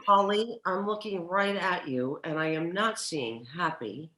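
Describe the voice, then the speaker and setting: A woman playing a sharp-tongued, exasperated sitcom wife who tries hard to sound reasonable, halting and insistent, in a comedic script read. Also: on the low side, reverberant. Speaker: sitcom actor at a cast reunion table read.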